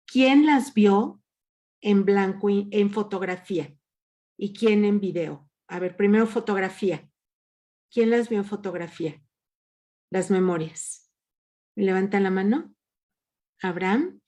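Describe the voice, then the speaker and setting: Slow-speaking woman leading a workshop. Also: medium pitch, dark tone. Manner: patient, asks the group questions. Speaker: workshop leader. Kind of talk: guiding an online workshop. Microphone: video call.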